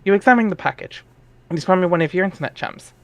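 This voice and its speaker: A man's voice: high voice, fast, no echo. A man narrating an amateur dramatic reading of a webcomic, wry and theatrical, with casual asides.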